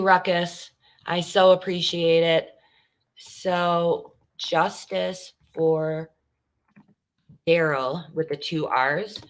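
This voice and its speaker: Low-voiced earnest woman hosting a livestream. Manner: earnest, chatty, with many fillers. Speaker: true crime podcast host. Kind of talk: casual livestream talk.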